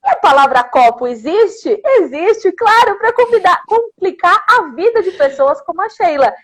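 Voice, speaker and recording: High-voiced teacherly Brazilian woman host. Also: moderate pace. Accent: São Paulo Brazilian Portuguese. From São Paulo, Brazil. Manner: animated, laughing, teacherly asides. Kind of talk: casual interview chat.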